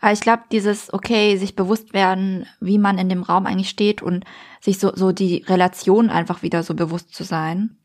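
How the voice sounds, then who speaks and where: A woman's voice: mid-range voice, steady tone, normal speed, a little mellow. A woman speaking hesitantly in a casual podcast conversation, searching for words about her relationships. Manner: searching, hesitant, many fillers.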